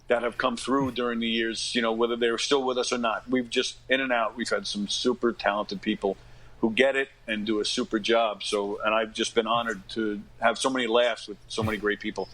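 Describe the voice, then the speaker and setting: An American man in his fifties talking casually as an interview guest, in a rambling, self-effacing, animated way. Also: on the low side, normal speed, low-quality recording. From United States. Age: 50s. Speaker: guest, actor and comedy dinner theater director.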